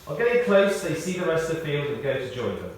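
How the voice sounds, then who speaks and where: A man reading a prepared tribute aloud in short, measured phrases, with wry storytelling. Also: a little high, very animated. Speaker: tribute speaker. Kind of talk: read-out memorial tribute with anecdotes. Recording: echoey hall, distant, faint noise.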